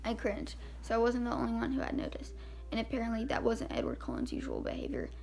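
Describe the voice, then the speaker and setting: A woman reading a first-person novel aloud as an amateur audiobook narrator, with a very creaky, gravelly voice. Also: fairly high pitch, big pitch swings, fast, darkish tone, slight background noise.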